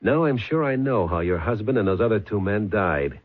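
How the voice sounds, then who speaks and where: An actor playing a shrewd, terse police sergeant in a 1970s radio mystery, matter-of-fact and methodical. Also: male voice, on the low side, animated, normal speed, loud music behind the voice, lightly acted. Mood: grim resolve. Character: shrewd, hard-boiled male police sergeant. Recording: muffled lo-fi 1970s radio recording.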